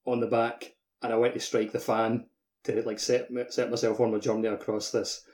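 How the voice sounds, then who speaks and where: A man chats casually as a gaming podcast co-host. His voice sits at a middle pitch, and his sentences come in short bits broken by frequent fillers. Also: little pitch movement, normal speed, darkish tone. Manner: peppers speech with filler "like".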